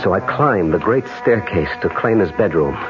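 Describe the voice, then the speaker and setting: A man's voice: deep voice, expressive, moderate pace, music in the background. An actor playing an obsessed, brooding man in an intense, theatrical style of 1970s radio drama, both in dialogue and first-person narration. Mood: tense anticipation. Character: obsessed, brooding man haunted by jealousy and past lives. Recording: dull, noisy 1970s radio broadcast.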